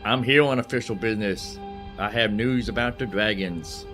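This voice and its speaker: A man's voice: on the low side, moderate pace. A man hosting a gaming video, giving casual, rambling commentary as he thinks aloud while playing. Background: game music.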